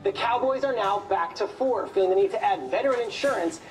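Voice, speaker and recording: A woman plays a sports reporter with a mid-pitched voice, reading the news briskly and matter-of-factly. Prominent music runs under her scripted game broadcast. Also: lightly acted, rough recording.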